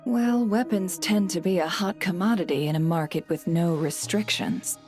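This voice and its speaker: An actress playing a poised, cryptic guild head in a video game, speaking formally and with measured courtesy. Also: female voice, lowish voice, darkish tone, soft background music, natural voice. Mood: measured, firm. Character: poised, cryptic woman heading a secretive trade guild. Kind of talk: video game character acting.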